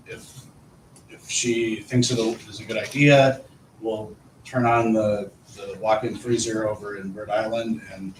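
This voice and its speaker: A man speaking as a school administrator at a meeting, informally explaining things and often repeating his phrases as he goes. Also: lowish voice. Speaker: school administrator reporting to a board. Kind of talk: informal discussion at a public meeting. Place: indoor meeting room. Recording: echoey room, distant mic, faint noise.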